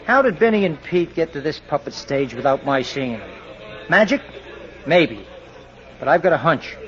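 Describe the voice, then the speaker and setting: Confident radio cop, wry and clipped, highish voice, muffled old recording. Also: male voice, big pitch swings, normal speed, fairly bright tone. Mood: wry, determined. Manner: wry, clipped, take-charge. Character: confident, wry, tough male police detective. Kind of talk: old-time radio crime drama acting.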